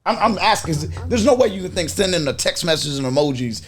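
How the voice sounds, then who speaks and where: A middle-aged man swapping stories on a casual podcast, talking in slang with heavy swearing and animated delivery. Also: high voice, quick pace. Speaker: podcast host.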